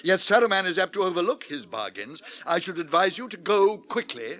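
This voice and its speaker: An older actor with a British accent playing a stern, imperious old wizard in a theatrical fantasy radio play. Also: male voice, high-pitched, very animated, a bit bright. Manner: imperious, measured, declamatory.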